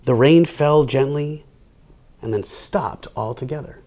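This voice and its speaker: A man's voice: medium pitch, unhurried, dark tone. A man hosting children's storytime, reading a picture book aloud with playful, theatrical delivery and casual asides.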